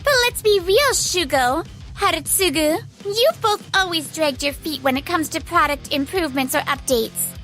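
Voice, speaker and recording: Very high-voiced, earnest young office woman played by an actress. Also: animated, normal speed, fairly bright tone, faint music behind. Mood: insistent. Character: earnest, outspoken young female office worker, Yui. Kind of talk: anime-style manga dub acting.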